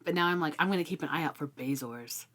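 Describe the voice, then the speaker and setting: Female co-host, lower-pitched voice, informal book chat, animated storyteller who voices little quoted reactions. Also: fast. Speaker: co-host of a book discussion show. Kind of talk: casual chat, book discussion.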